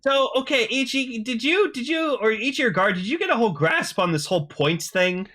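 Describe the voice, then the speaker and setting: A man co-hosting a podcast, chatting casually as a fan in an excitable, rambling way and acting out dialogue. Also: extremely high pitch, fairly quick. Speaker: podcast host.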